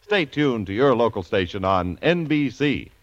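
Male American radio announcer, mid-century style, very animated pitch, emphatic polished selling, dry but dull vintage sound. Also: normal speed, no room sound. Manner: polished salesman delivery with emphatic phrasing. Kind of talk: 1940s-50s scripted radio announcing and advertising.

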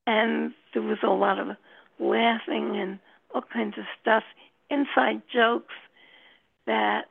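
A woman with a heavily creaky, husky voice chats at a slow, halting pace. She sounds like she is on a narrow phone line. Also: fairly high pitch, flat delivery, no room sound. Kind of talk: casual group chat. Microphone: phone or online meeting call.

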